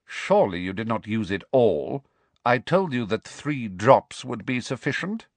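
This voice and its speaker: A man voicing a cold, sardonic male professor who speaks smoothly and with contempt. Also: mid-range voice, animated, measured pace, no echo. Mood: cool.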